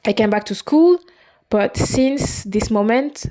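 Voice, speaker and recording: A woman in her twenties, a non-native English speaker, hosting a podcast and talking through her own story in a halting, confessional way, often stopping to correct herself. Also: fairly high pitch, animated, warm tone. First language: not English. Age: 20s. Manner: halting, with frequent restarts and self-corrections. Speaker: podcast host. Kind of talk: personal confessional monologue.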